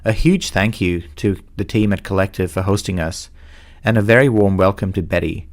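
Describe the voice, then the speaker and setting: A man speaking as a podcast host, with a measured, formal delivery, introducing and interviewing. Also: sits low.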